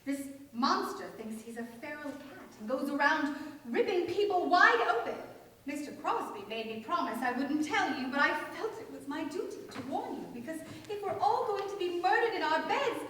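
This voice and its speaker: A woman's voice: high voice, big pitch swings, moderate pace, fairly bright tone. A woman playing a bossy, neurotic, man-scorning older cousin in a staged radio play, with theatrical delivery. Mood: dramatic. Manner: theatrical, declamatory. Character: bossy, neurotic, man-hating busybody spinster-like divorcee cousin. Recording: echoey hall, distant mics, faint noise.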